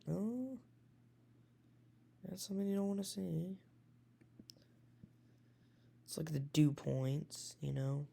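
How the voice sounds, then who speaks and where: A man with a raspy voice, a weather enthusiast casually talking through a forecast with many hesitations and self-corrections. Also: a little high, expressive, slow, pauses often, mellow.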